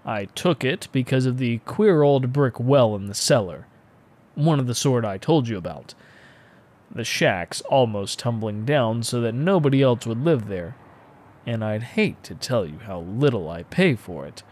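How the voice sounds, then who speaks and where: A man's voice: medium pitch, animated, a little slow, a little mellow. A man narrating a classic horror story in a dramatic, literary audiobook style.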